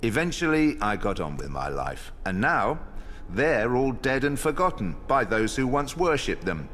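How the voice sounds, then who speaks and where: An actor playing an ancient banished trickster god, a smug and sardonic game villain delivering theatrical monologues, savoring each word. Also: male voice, mid-range voice, very animated, moderate pace, fairly bright tone, a little hiss or hum. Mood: brooding, defiant. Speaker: video game villain in story cutscenes.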